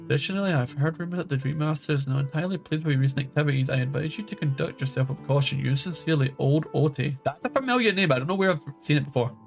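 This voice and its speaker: Fast-talking male game streamer. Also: fairly high pitch, vocal fry, soft background music. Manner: informal, chatty, rambling. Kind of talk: casual live commentary, reading game text aloud.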